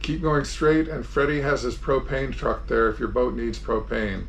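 Background noise sits under the voice of a man in his sixties with a mid-pitched delivery. He hosts an informal sailing vlog and explains things plainly, one step at a time. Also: steady tone, moderate pace, vocal fry. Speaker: sailing vlogger and host. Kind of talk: informal narrated travel tour and how-to.

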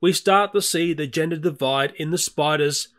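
A man's voice: fairly high pitch, moderate pace, fairly bright tone. A middle-aged Australian man narrating a nature video in a chatty, candid voice-over.

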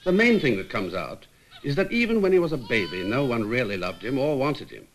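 Expressive, serious British male nurse. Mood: grave, explanatory. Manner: measured, grave, emphatic. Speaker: hospital staff member recounting a case history. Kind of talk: scripted case-history narration in a 1950s film. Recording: faintly rumbling 1950s film soundtrack.

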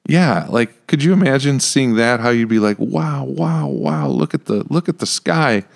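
A man's voice: medium pitch, big pitch swings, normal speed, gravelly. A middle-aged American man podcast host talking casually and thinking aloud, close on a studio mic. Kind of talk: casual discussion. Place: small podcast room with acoustic panels. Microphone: close studio mic on stand.

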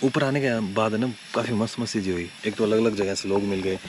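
Chatty low-voiced man vlogging from a campsite. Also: fast talker, background noise. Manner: chatty, calls viewers brother. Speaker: travel vlogger. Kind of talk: casual vlog narration to viewers. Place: campsite at night, outdoors and in a tent.

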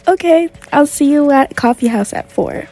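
A young woman voicing a calm, attentive teenage girl on a date. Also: high voice, moderate pace, soft background music.

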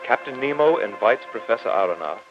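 A man's voice: lowish voice, animated, brisk, music in the background, lightly acted. A man playing a polite, learned professor who narrates his adventure with earnest wonder in a formal old-time radio style. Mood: earnest narrating. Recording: muffled old radio recording.

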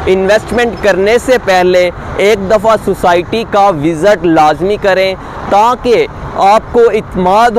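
पाकिस्तानी उर्दू लहजे वाला एक आदमी, बाहर किसी निर्माण साइट पर खड़ा होकर दर्शकों से सीधे बात करता है और जोश के साथ प्लॉट बेचता है।. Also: male voice, rough recording. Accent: Pakistani Urdu. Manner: upbeat sales pitch, speaks straight to viewers. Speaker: real estate agent. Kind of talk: on-site property promo vlog. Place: outdoors at a construction site by a busy road. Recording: faint outdoor traffic noise.

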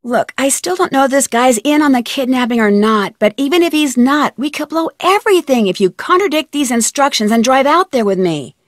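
A young American woman playing a plucky, determined detective in an adventure game, speaking earnestly and clearly. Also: high-pitched, expressive, brisk, few pauses, dry recording, clean studio sound. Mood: earnest, concerned. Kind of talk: scripted game dialogue.

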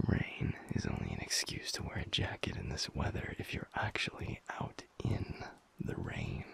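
Guy doing a teasing werewolf boyfriend for audio rp, slow breathy whisper, playful and fond. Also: a bass voice, heavily acted. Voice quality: breathy, husky near-whisper. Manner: playful teasing with soft chuckles and unhurried pauses. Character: teasing, affectionate alpha werewolf boyfriend, low and intimate. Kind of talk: intimate boyfriend audio roleplay.

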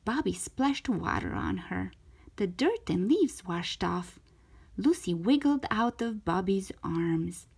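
A woman narrating a children's story aloud in an expressive, careful storytelling manner, as a storytime host. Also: mid-range voice, slow pace, creaky, husky. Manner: animated, careful storytelling delivery.

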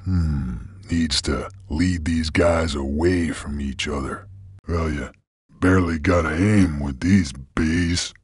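An actor playing a gruff, laconic bounty hunter creature in a video game, with a gravelly Western American cowboy drawl. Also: male voice, a bass voice, slow pace, no echo, clean studio sound, exaggerated voice. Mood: gruff, musing. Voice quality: gravelly, raspy.

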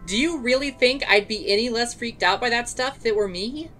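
A woman playing a calm, sympathetic young friend in a fan audio drama. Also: a little high, brisk, close to an everyday voice. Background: music.

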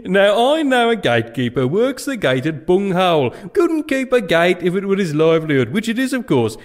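A man's voice: high voice, very animated, moderate pace. An English man playing a dithering, pedantic, deferential medieval gatekeeper in a comedy audio drama, rambling and fussy.

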